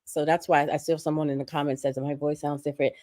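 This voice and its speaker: A hoarse woman, sick with a respiratory virus, making earnest, emphatic points as a guest on a livestream conversation. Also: deep voice, flat delivery, rapid-fire, mellow, dry recording, studio quality. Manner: earnest, emphatic, building points through repetition. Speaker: guest commentator.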